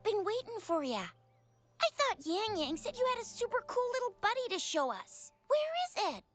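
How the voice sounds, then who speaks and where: A woman voicing an excitable, playful young girl in a video game, teasing and incredulous in an exclamatory way. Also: very high voice, moderate pace, faint music behind. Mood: curious, eager. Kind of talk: animated video game voice acting.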